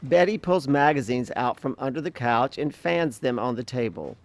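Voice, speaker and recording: A man's voice: medium pitch, expressive, moderate pace, no room sound. A man reading stage directions as scripted narration for a staged play reading.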